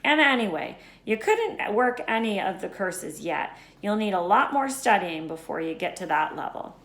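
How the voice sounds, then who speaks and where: A woman voicing a gruff, big-hearted, blunt man for a children's storybook, with emphatic, folksy speech. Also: mid-range voice, very animated, moderate pace, fairly bright tone. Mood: cautioning. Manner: emphatic, folksy, dropped words. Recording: echoey room.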